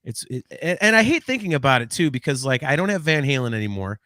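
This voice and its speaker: A man's voice: medium pitch, big pitch swings, fast, sharp tone, no room sound, clean studio sound. Rambling, cursing man in his forties. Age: 40s. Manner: rambling, swearing, frequent restarts. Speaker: podcast co-host. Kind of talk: casual podcast chat.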